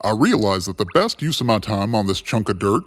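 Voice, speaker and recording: Deep-voiced, animated male storyteller. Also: dry recording. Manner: dramatic, ornate phrasing with drawn-out pauses. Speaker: storyteller and host. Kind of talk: scripted, theatrical story narration. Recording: clear music bed behind narration. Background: music.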